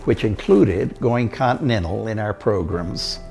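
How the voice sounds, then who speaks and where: An older man from Costa Rica, telling his life story in a measured, steady delivery. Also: lowish voice, vocal fry, soft background music. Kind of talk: prepared autobiographical narration.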